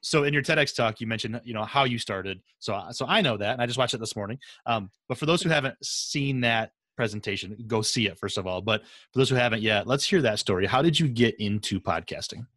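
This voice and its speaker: A man's voice: medium pitch, quick pace, hardly any pauses, fairly bright tone, dry recording. A man hosting a podcast interview in an informal, rambling, affirming way. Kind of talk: casual conversational interview.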